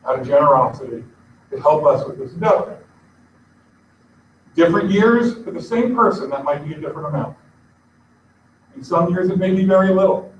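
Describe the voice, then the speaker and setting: A man giving an informal address to his congregation, earnest and conversational, pausing now and then to find his place. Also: high voice, slight background noise. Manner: earnest, conversational, pauses to look things up. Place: synagogue sanctuary. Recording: echoey, distant, dull live stream.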